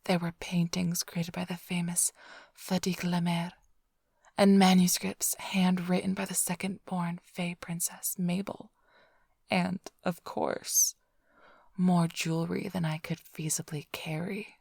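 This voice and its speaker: A woman acts out a seductive vampire thief for an ASMR roleplay. She speaks in a breathy whisper with an unhurried, teasing drawl and a smug edge. The sound is intimate and dry, right up on the microphone. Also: lowish voice, level tone, a little mellow, dry recording, full character voice. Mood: gloating, playful. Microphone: close-up studio microphone, dry.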